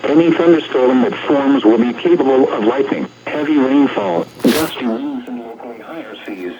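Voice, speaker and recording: A synthetic man's voice with an American accent reads a scripted weather bulletin over the radio in a formal, impersonal, measured way. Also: mid-range voice, a crowd in the background. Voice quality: synthetic text-to-speech voice. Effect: weather radio broadcast. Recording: dull, noisy weather radio reception.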